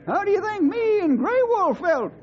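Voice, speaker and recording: An actor playing a crusty, excitable old western sidekick in a radio drama, with folksy backwoods talk. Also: male voice, very high voice. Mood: good-natured. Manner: folksy backwoods grammar and drawn-out delivery. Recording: muffled old radio recording, background hubbub.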